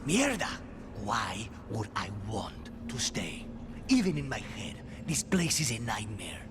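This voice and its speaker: A man's voice: lowish voice, wildly swooping pitch, unhurried, background noise. A man playing a troubled, intense assassin in a video game, speaking with a Spanish accent. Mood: anguished, then weary, despairing. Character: troubled male assassin sharing his mind with a demon. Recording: game music behind.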